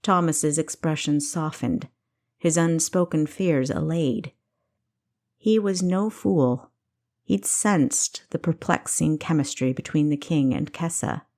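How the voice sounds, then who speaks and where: A woman's voice: low voice, slow pace, a little mellow. A woman reading her own novel as scripted, dramatic audiobook narration.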